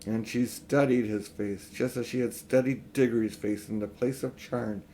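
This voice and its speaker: A man's voice: medium pitch, fairly even intonation, normal speed, dark tone, slight background noise. Older man reading a children's story. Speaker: audiobook reader.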